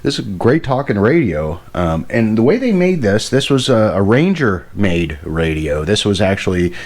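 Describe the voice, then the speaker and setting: A man's voice: lowish voice, big pitch swings, moderate pace, darkish tone. A man giving a casual hands-on demonstration as a radio hobbyist, rambling and correcting himself with enthusiasm. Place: indoor workbench.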